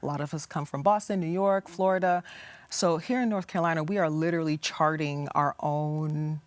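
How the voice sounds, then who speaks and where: Earnest low-voiced woman guest. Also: fairly even intonation, fairly quick, no room sound. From United States. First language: English. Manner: earnest, passionate, stops and restarts phrases. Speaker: community organization founder, guest. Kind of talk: studio interview.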